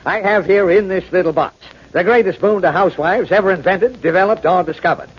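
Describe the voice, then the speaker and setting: Man as glib door-to-door pitchman, pushy patter, high voice, 1940s radio drama, faint noise. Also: fairly quick, dull audio, natural voice. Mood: glib, eager. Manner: slick rehearsed patter.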